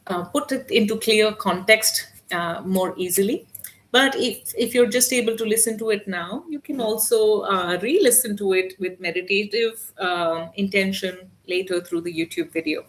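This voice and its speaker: A woman hosting a live spiritual channeling stream, speaking softly and gently with many hesitant fillers. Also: medium pitch.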